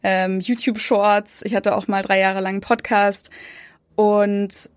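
A woman's voice: medium pitch, level tone, a little slow, dry recording. Young german woman rambling along in an interview, lots of ums, dead dry sound. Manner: chatty, many fillers, mimics others in quotes. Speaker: guest, content creator. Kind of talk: casual interview chat.

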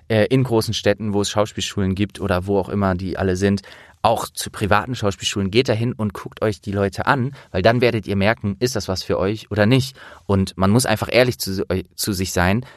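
A man's voice: fairly low pitch, fairly even intonation, fairly quick, dry recording, clean studio sound. A young man from the Rhineland in Germany, an actor hosting a casual interview, explaining things at length in a searching way.

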